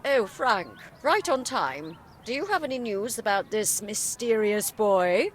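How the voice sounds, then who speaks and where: Woman doing a strict orphanage headmistress, measured and skeptical, mid pitch but lots of melody, animated game acting. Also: noisy background, lightly acted. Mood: composed, dismissive.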